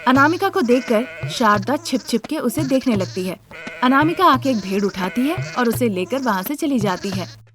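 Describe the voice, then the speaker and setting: A woman's voice: fairly high pitch, lively intonation, normal speed. A woman reading scripted story narration as a narrator.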